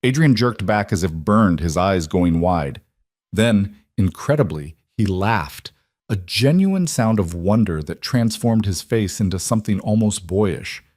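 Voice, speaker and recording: A man's voice: on the low side, animated, unhurried, a little mellow, no room sound. A man reading scripted dramatic fiction as an audiobook narrator, close on the microphone.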